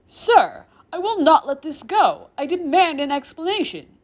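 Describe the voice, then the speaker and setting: A woman's voice: medium pitch, brisk, natural voice. A woman voicing a proud, stern, easily offended male demon professor with a formal, indignant manner in a story.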